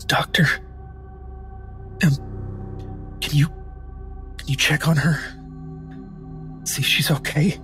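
A man playing a tabletop roleplaying game, improvising his character's actions in a halting way, searching for words. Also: fairly high pitch, fairly even intonation, a bit bright. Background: background music.

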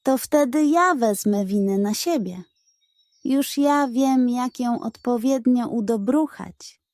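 A woman's voice: fairly high pitch, lively intonation, takes it slowly, a little mellow, dry recording, studio quality. A woman voicing a sly, greedy little male pug in a children's bedtime story, cooing and coaxing with dramatic flair. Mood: eager. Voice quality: cooing, wheedling. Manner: dramatic, coaxing delivery with diminutives.

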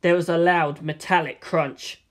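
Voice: medium pitch, steady tone, unhurried. A narrator reading a story aloud in a dramatic, scripted style. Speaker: audiobook narrator.

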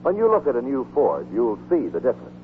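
Animated American radio announcer, tinny and vintage. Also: male voice, medium pitch, quick pace. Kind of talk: scripted 1940s radio announcing. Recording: tinny 1940s radio broadcast with hum.

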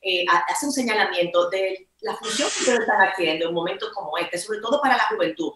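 A woman's voice: mid-range voice, expressive, fast, background noise. A Dominican woman in her forties with a Dominican accent, hosting a casual interview over a video call and laughing often. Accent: Dominican Spanish. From Dominican Republic. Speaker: host, interviewer. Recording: echoey room.

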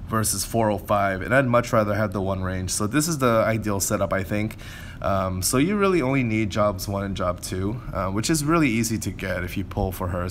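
A man's voice: on the low side, a little hiss or hum. A man giving casual gameplay commentary in an informal way, as if thinking aloud. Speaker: game content creator, host.

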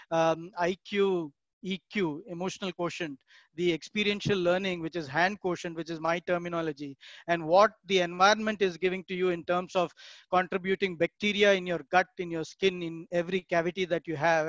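A man giving an online keynote talk, measured and explanatory, with frequent "uh" pauses. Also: sits high, fairly bright tone, no room sound, highs cut off. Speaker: keynote speaker.

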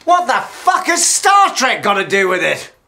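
A self-absorbed man in middle age who frets and insists. His pitch jumps around a lot. He sounds indignant and needy in a naturalistic comedy. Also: huge pitch swings, measured pace, creaky, sharp tone, heavily acted. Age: middle-aged. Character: needy, paranoid, self-absorbed middle-aged radio drama writer. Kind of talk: naturalistic screen comedy acting. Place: indoor office.

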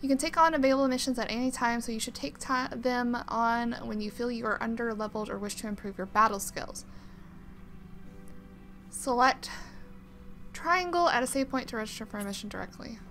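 A woman's voice: on the high side, a little fast, fairly bright tone. A woman hosting a let's play, commenting casually on a game and reading on-screen text aloud.

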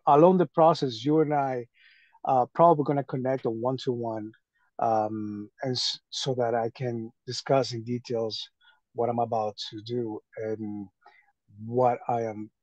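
A man from Venezuela talking casually on a group video call, haltingly, often repeating his phrases. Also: mid-range voice, steady tone, darkish tone, no room sound. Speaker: call participant. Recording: dull lo-fi call audio.

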